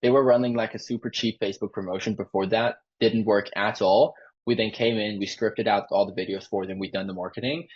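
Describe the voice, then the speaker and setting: Man, sales closer. Low, husky voice, informal pitch style, muffled call recording. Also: steady tone, fairly quick. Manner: informal, peppered with "like". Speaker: sales closer for a marketing agency. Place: online sales call with screen sharing. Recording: dull lo-fi call audio.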